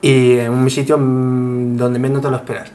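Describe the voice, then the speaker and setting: A man at an average male pitch. He chats casually as a guest, building his answers in loose, hesitant pieces. Background noise is audible. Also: moderate pace. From Spain. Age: 20s. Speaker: podcast guest. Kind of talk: casual chat. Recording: clear steady background noise.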